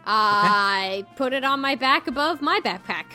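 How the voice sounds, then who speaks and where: Bright-voiced woman playing tabletop, unhurried. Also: fairly high pitch, animated. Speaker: tabletop game player. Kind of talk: casual game chat. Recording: music behind the voice. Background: game soundtrack music.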